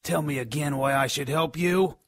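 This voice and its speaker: Scrawny, resentful, excitable imp fence, higher-pitched and gravelly, radio drama creature role. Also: male voice, moderate pace, no room sound, clean studio sound. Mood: spiteful, resentful. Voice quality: gravelly, raspy. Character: scrawny, smelly male imp fence, spiteful and cowardly. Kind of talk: radio drama character acting.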